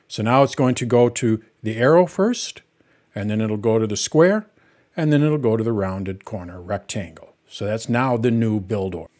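A middle-aged man hosting a software tutorial, walking through each step in a plain, patient way as he works on screen. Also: fairly low pitch, normal speed.

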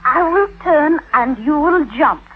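A woman's voice: sits high, expressive, measured pace, soft background music. An actress playing a playful, bossy sixteen-year-old French schoolgirl with a French accent in a 1950s radio drama. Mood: playful, pleased. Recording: tinny vintage 1950s radio broadcast.

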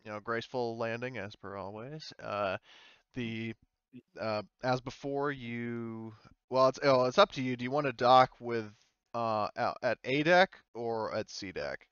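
A man narrating a tabletop roleplaying game as game master in an informal, halting way. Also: mid-range voice, a bit bright, clean tone, no echo, lo-fi sound.

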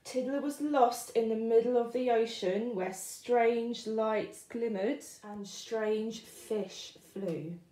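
A woman reading a children's picture book aloud with expressive, deliberate, rhythmic narration. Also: a little high. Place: indoor room, book on wooden surface. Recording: roomy indoor echo.

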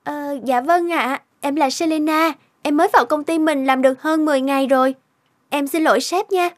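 An actress voicing a young female office worker in an animated cartoon, with a coy, expressive way of talking. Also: high-pitched, fairly quick, natural voice. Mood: flustered, apologetic.

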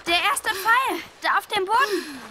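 A woman's voice: extremely high pitch, sing-song, a little slow, exaggerated voice. A woman playing an eager, earnest young boy in a children's audio drama. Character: eager, plucky young boy on a scavenger hunt. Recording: noisy outdoor sound effects behind.

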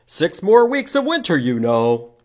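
A man voicing an excitable, stubborn storybook groundhog with loud, emphatic delivery. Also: a little high, unhurried, pitched above the performer's own voice. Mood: chilly protest. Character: excitable stubborn male groundhog in a picture book.

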